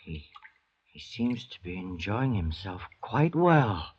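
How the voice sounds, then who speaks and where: An actor playing an anxious, brooding convict forger in an old radio drama, speaking in a fretful, halting way. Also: male voice, low voice, big pitch swings, a little mellow, heavily acted. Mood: uneasy, brooding. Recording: dull, hissy old radio recording.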